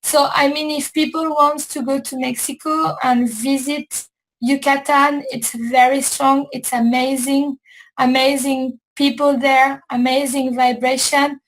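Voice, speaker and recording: High, slow-talking woman. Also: flat delivery, rough recording. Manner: slow, repetitive, searching for words. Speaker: host. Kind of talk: casual chat.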